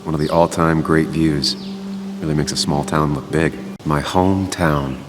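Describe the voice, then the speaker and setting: A very deep-voiced brooding loner. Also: male voice, steady tone, moderate pace, slight background noise. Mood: brooding, reflective. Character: brooding, melancholy male former journalist, solitary and cynical. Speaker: game protagonist narrator. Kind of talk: introspective game voice-over monologue. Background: music.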